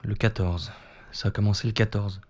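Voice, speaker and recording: An actor playing an exhausted, obsessed man tormented by a noise, speaking in an agitated, halting, repetitive way in a naturalistic audio drama. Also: on the low side, fairly even intonation, darkish tone, natural voice. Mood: weary, irritated. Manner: agitated, halting, repeating himself.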